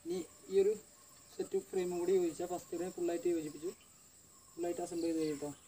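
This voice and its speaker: A man's voice: high-pitched, fairly even intonation, rapid-fire, mellow, a little hiss or hum, rough recording. A young man filming himself outdoors in a yard, explaining step by step how he assembles a home workout bench. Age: young adult. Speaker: fitness vlogger. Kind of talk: casual how-to commentary. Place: outdoor garden yard among banana trees. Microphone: camera on tripod at a distance.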